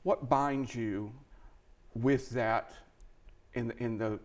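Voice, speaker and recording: A man's voice: medium pitch, steady tone, extremely slow, a little mellow. A man hosting a live fundraiser as emcee, speaking in an emphatic, effusive way with speech-like phrasing. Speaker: event emcee.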